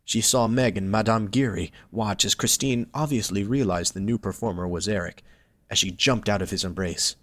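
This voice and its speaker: A man narrates a scripted story for an audio drama. His voice is on the low side, and the sound is dry with very little room echo. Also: normal speed, a bit bright.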